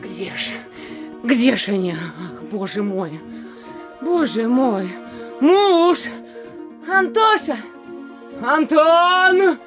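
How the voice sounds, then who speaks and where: A high-voiced, bossy mayor's wife. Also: female voice, animated, extremely slow, pauses often, soft background music, exaggerated voice. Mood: frantic, calling out. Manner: scolding, insistent, exclamatory. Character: bossy, nosy, fretful middle-aged mayor's wife. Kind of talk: theatrical classic comedy audio play.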